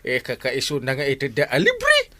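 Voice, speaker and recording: An actor playing an excitable village captain in a comedy radio drama, starting lines with "eh" and bursting into squeals and whoops. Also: mid-range voice, normal speed, dry recording. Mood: whiny, amused.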